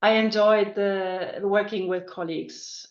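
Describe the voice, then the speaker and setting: A woman's voice: mid-range voice, fairly even intonation, measured pace. A middle-aged woman from northern Germany with a German accent, a guest in a casual interview, talking thoughtfully with many ums and restarts. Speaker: guest, business coach. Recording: echoey dull-sounding room.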